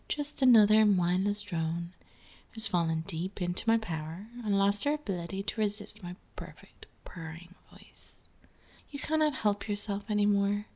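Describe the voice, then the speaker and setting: A woman speaking softly in a slow, commanding, hypnotic way, often sliding into a half-whisper, as a scripted ASMR hypnosis roleplay. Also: medium pitch, creaky.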